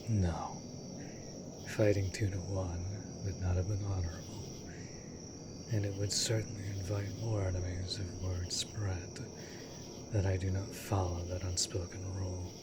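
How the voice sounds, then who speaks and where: Man acting a slow, low-voiced immortal vampire lover. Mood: grave, confessing. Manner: formal archaic wording, slow and deliberate, often dropping to breathy whisper. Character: immortal male vampire master, tender, brooding, lonely lover. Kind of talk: scripted romantic fantasy audio roleplay. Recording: steady background noise of night crickets. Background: night insects and crickets.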